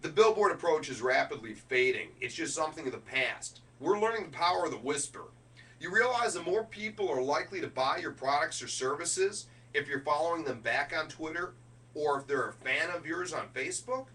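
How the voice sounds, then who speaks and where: A man delivering a motivational business keynote speech in an emphatic, deliberate way, punching key words as a speaker. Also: a little high, animated, sharp tone. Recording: distant microphone with faint noise and hum.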